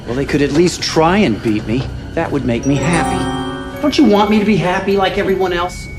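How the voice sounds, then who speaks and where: A man's voice: sits high, moderate pace, lightly acted. An actor playing a petulant, menacing middle-aged man who rules a town, needling and demanding in a television drama. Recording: clip under loud background music.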